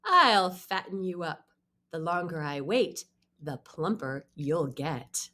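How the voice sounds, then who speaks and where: Storybook mother crocodile, hungry and gullible, voiced by a woman; drawn-out dramatic lines, very lively pitch. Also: full character voice. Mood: menacing. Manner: slow, emphatic, dramatic delivery. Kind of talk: acted children's storybook read-aloud.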